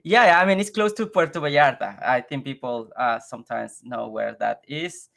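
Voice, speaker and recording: A man from Mexico, a native Spanish speaker with a Mexican accent, talking casually and haltingly as an interview guest. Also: mid-range voice, vocal fry, a bit bright. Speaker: guest, software engineer.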